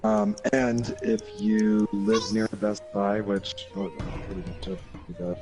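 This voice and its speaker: A man co-hosting a gaming livestream over voice chat, talking casually and haltingly while he plays. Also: fairly low pitch, level tone. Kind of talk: casual chat while playing a video game. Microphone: online voice chat. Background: loud game music.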